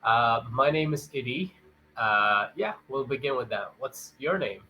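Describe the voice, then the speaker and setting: A man's voice: medium pitch, lively intonation, measured pace, bright, forceful tone, soft background music, poor audio. A young man, born in Thailand and raised in Canada, chatting as an English tutor on a video call, friendly and hesitant with many fillers. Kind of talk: casual video-call chat. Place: home in a big city.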